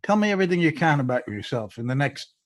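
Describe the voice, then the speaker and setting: A man's voice: a little high, very animated, fast. A man hosting an interview over a video call, with a friendly, joking manner. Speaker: host.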